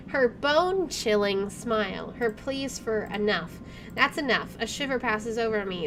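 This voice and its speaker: A woman's voice: mid-range voice, noisy background. A woman playing a visual novel, reading the text aloud dramatically and reacting with casual commentary. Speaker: gamer doing a playthrough. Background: soft game music.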